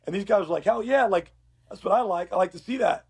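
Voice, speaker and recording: Raspy, higher-pitched man telling stories casually. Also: quick pace, a little mellow. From Uruguay. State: hoarse from work. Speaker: podcast guest, police academy instructor. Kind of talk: casual conversational storytelling.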